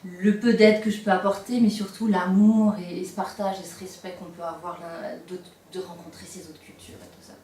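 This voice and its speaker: A woman's voice: lowish voice, little pitch movement, moderate pace, hardly any pauses, warm tone. A woman in her thirties talking informally in a testimonial interview. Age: 30s. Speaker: volunteer, former nurse. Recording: roomy, distant mic, faint noise.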